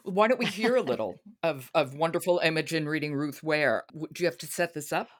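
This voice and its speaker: A woman's voice: deep voice, expressive, brisk. Effusive woman hosting a book podcast. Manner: effusive, emphatic. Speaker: podcast host. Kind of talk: casual conversational book talk.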